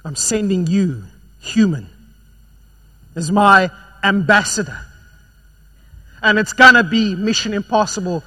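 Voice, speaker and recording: A man preaching a sermon, swinging between loud emphatic passages and quiet, low ones. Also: a little slow, pauses often.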